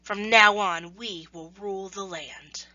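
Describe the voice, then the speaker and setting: A woman's voice: medium pitch, takes it slowly, sharp tone, dull audio. A woman voicing a boastful, domineering tiger in a children's folktale, haughty and emphatic.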